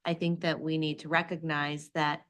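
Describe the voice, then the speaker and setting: A woman school board member adding a measured, deliberate comment at a meeting. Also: deep voice, little pitch movement, a bit bright.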